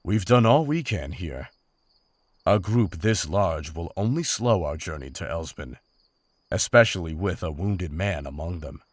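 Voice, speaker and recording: A man's voice: deep voice, animated, no echo. A man playing a seasoned, honorable monster hunter in a fantasy drama, with formal, restrained, serious speech. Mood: measured. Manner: formal, measured, serious wording. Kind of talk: fantasy audio drama acting.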